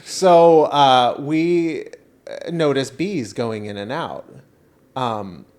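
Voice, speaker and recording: A man with a mid-pitched voice chats as a podcast co-host. He tells comedic stories in an animated, dramatic manner, voicing people's reactions as he goes. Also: extremely slow. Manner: animated, dramatic storyteller who acts out reactions and quotes. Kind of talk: casual comedic storytelling chat.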